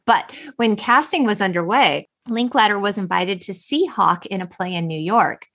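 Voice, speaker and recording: Female podcast host, middle-aged, medium-pitched, chatty film discussion with reflective enthusiasm. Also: normal speed, fairly bright tone.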